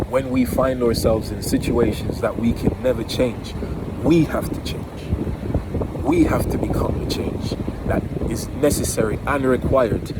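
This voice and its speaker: A man with a Jamaican accent giving an earnest, emphatic, informal motivational talk outdoors, repeating key phrases. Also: mid-range voice. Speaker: motivational vlogger. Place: outdoors near home by the water. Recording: very noisy outdoors, wind on the microphone.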